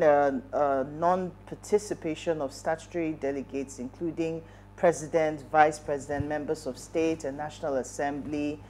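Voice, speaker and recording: A woman with a Nigerian accent giving news commentary as a studio talk show panelist, in halting phrases. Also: deep voice, darkish tone. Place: TV studio, at a desk.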